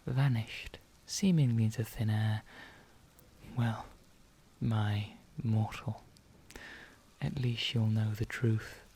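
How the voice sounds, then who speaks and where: A man playing an ancient, condescending vampire in an ASMR roleplay, speaking softly and deliberately, often slipping into a whisper, with calm menace. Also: medium pitch, a little hiss or hum, close to an everyday voice. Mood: quietly ominous, then smug, condescending. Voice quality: soft, breathy, often dropping into whisper. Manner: slow, deliberate, pausing, formal.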